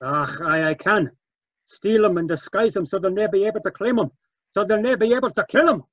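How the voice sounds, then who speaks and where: An actor playing a stubborn, indignant Scottish farm keeper in an old-time radio drama, speaking urgently and emphatically. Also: male voice, high-pitched, a little fast, dry recording, muffled recording. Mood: urgent, determined.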